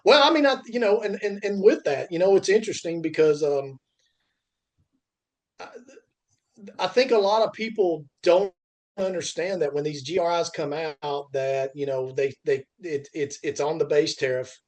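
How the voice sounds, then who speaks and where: Rambling middle-aged man, slightly high voice. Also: moderate pace. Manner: rambling, repetitive, circles back on phrases. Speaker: podcast host, freight industry veteran. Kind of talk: casual podcast chat.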